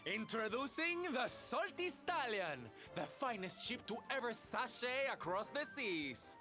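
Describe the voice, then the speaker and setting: Casting: camp, theatrical adventurer for a video game, cheerful and breezy, very animated pitch, music behind. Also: male voice, measured pace, exaggerated voice. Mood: gleeful showmanship. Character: flamboyant, theatrical, cheerful male showman adventurer. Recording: game audio over loud music.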